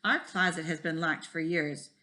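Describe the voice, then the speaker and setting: A woman's voice: low voice, normal speed, bright, forceful tone, a little hiss or hum. A woman voicing an earnest, curious schoolboy detective of about eight in a storybook, eager and inquisitive.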